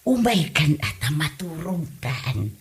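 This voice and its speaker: A woman acts out a long-suffering old wife in a comedy audio drama. She nags in an Ilocano accent, with very animated pitch and an exasperated, scolding manner. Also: takes it slowly, exaggerated voice. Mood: weary complaint.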